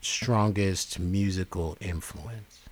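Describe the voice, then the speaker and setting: A man's voice: low voice, slow pace, no room sound. A man interviewing a guest, asking brief, plain questions.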